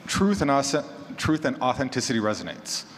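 A man's voice: mid-range voice, sing-song, normal speed, fairly bright tone. A man speaking informally as a panelist at a conference session. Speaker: panelist, horror podcaster. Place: large indoor conference session room. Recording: reverberant hall with faint noise.

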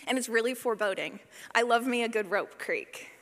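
A woman's voice: a little high, brisk, a bit bright, big-room sound. A woman giving an informal talk as a sound designer, chatty, playful and self-deprecating.

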